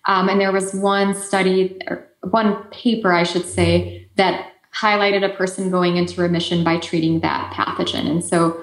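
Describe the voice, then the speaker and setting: A woman's voice: fairly low pitch, fairly even intonation, normal speed, roomy sound, poor audio. A woman with an Eastern European accent, a native Polish speaker, answering interview questions in an explanatory way with frequent fillers and restarts. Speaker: guest expert.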